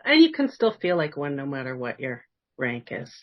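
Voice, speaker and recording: A woman's voice: extremely low pitch, big pitch swings, moderate pace, dull audio. A woman podcast interviewer chatting casually, asking loose, rambling questions in a conversational way.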